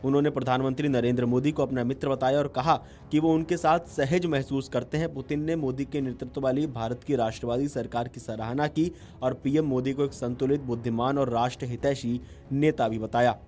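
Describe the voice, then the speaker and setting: A man's voice: medium pitch, steady tone, a little fast, a little mellow. A man reading a scripted news voice-over in a formal manner. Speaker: news narrator. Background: background music.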